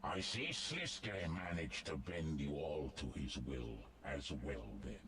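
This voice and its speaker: A man's voice: rumbling low, lively intonation, slow pace, background noise, full character voice. A man voicing a grave, commanding god in a fantasy video game, speaking in a solemn, formal way. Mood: stern.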